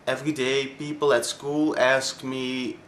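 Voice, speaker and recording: A man of twenty-one from Belgium with a Flemish accent, his first language Dutch, speaking haltingly with many pauses in a personal vlog. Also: mid-range voice, little pitch movement, a bit bright, slight background noise. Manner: hesitant, many ums and pauses. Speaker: vlogger.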